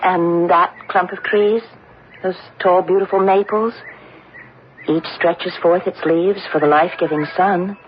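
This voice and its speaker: An actress playing a gentle, thoughtful, timid wife, speaking politely and earnestly in an old-time radio drama. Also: female voice, fairly low pitch, steady tone, measured pace, a little hiss or hum, close to an everyday voice. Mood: gently philosophical. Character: gentle, thoughtful, timid married woman with philosophical bent. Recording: muffled vintage radio recording.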